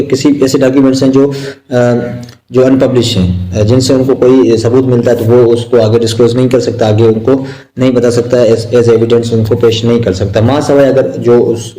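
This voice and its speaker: Mellow-voiced Pakistani man lecturing haltingly. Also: medium pitch, poor audio. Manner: repetitive, halting explanation with English terms mixed in. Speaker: law lecturer. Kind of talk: informal exam-prep lecture explaining point by point.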